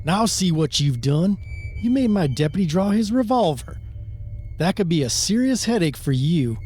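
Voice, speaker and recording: Man acting a high-voiced, gruff, sarcastic sheriff. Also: sing-song, normal speed, darkish tone. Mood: menacing, smug. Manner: sardonic, threatening. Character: gruff, sarcastic, bullying small-town male sheriff. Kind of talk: amateur radio play reading. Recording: faint music behind the voice.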